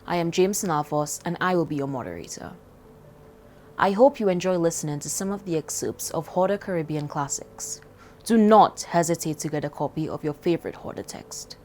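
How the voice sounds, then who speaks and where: A woman's voice: sits low, moderate pace, darkish tone, slight background noise. A woman reading a scripted introduction as moderator of an event.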